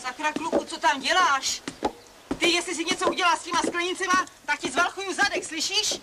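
A woman's voice: extremely high pitch, very noisy. An actress playing a middle-aged mother, scolding and nagging her son. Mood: angry scolding.

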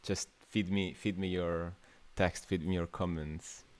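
A man's voice: low voice, steady tone, measured pace, darkish tone. A man in his twenties with an Italian accent, hosting a casual livestream workshop in an informal, hesitant way, often thinking aloud. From Italy. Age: 20s. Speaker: workshop host, new media artist. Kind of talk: casual livestream masterclass, chatting with viewers. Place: small indoor room.

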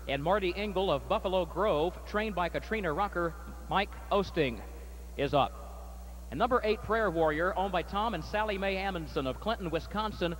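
A man announcing and calling a harness race at a state fair, his voice climbing and getting loud down the stretch, then interviewing a trainer. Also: sits high, a little hiss or hum, rough recording. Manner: rapid, rising to shouts at the finish. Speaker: track announcer. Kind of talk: harness race call and winner's circle interview.

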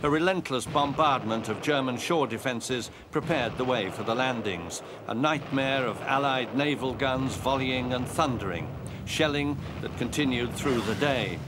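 A British male reporter delivers a scripted news voice-over at a middling pitch. His phrasing is measured and literary, with clear vehicle and outdoor noise underneath. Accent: British English.

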